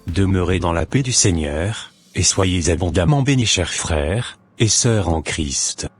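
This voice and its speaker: Deep raspy man preaching slowly. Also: lively intonation, faint music behind. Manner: slow, earnest, exhorting. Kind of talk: sermon-like exhortation.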